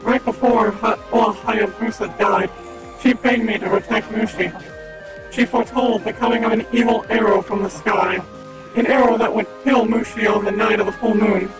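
A solemn, loyal guard dog speaking in a slow, careful way, read aloud by a man. The voice sits very high and carries a slight rasp, and game music runs underneath it. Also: fairly even intonation, rough recording. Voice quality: slightly raspy.

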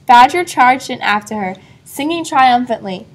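A woman reading a children's story aloud expressively, pausing to put questions to a young listener. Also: on the high side, animated. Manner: asks a child engaging questions. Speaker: storybook reader.

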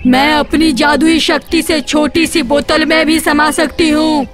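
A woman voicing a menacing, gloating man-eating witch in an animated horror story, with a drawn-out delivery. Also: high-pitched, faint music behind. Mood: haughty, stern.

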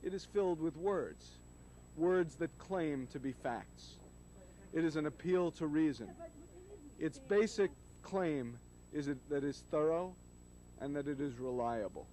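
A middle-aged man delivering scripted commentary to camera on a city street, speaking in solemn, measured, emphatic phrases into a handheld microphone. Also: fairly high pitch, warm tone. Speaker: host, media critic. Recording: noisy old videotape street recording.

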